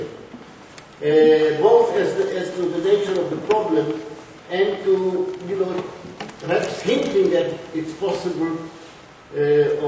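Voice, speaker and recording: An older man from Palestine giving a public lecture, measured and thoughtful, often pausing and restarting his sentences. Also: high voice, darkish tone, a little hiss or hum. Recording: echoey hall recorded from far off.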